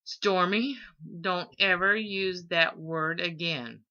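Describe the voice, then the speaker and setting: A woman's voice: on the low side, slow, pushed and bright, highs cut off. A woman voicing an awkward, protective young father who speaks earnestly and hesitantly in an audiobook. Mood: stern.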